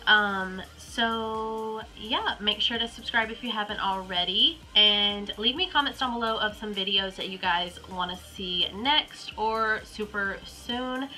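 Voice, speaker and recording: A woman's voice: mid-range voice, sharp tone. A young woman with a Southern US accent and a stuffy, congested voice from a cold, chatting casually through a makeup tutorial at home with lots of ums and likes. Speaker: beauty vlogger.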